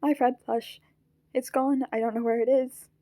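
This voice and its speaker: A woman acting out a living puppet from a radio play, childlike and easily flustered. The voice sits high and pleads in nervous, stammering bursts. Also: flat delivery, moderate pace, dark tone, natural voice. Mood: panicked. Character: frantic, childlike living puppet clinging to its plush. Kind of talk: audio drama acting.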